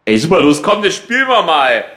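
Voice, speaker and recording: An actor playing an easygoing, colloquial slacker bandmate in a conversational radio play, talking a little hesitantly and encouragingly. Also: male voice, a little high, sing-song, a little fast, pushed and bright. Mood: eager.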